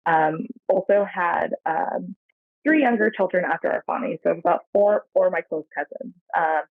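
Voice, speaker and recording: American woman guest rambling with lots of ums, kinda gravelly, sounds like a phone line. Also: on the low side, moderate pace, no room sound. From San Francisco Bay Area, USA. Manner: reflective, rambling, heavy on fillers. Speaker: podcast guest. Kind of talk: casual interview chat. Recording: tinny phone-like dry line.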